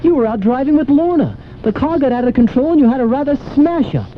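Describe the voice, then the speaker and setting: Male actor as earnest apologetic doctor friend, lively intonation, radio play, phone-like tinny sound, faint noise. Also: extremely high pitch, fast. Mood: reassuring. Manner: earnest, reassuring. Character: concerned, apologetic male surgeon and loyal friend. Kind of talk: old-time radio drama acting.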